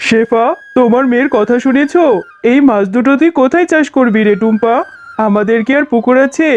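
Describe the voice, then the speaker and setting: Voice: medium pitch, fairly quick, a little mellow, lightly acted. An actor voicing a poor, doting village father fox in a children's cartoon. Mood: doubtful. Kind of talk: Bengali children's cartoon dialogue. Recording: muffled, narrow-band sound with music.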